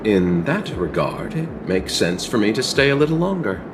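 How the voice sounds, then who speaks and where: A man playing a courteous, composed young travelling merchant in a video game, speaking in a polite, measured way. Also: fairly low pitch, sing-song, background noise. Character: courteous, soft-spoken, unhurried young male travelling merchant. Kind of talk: video game cutscene dialogue.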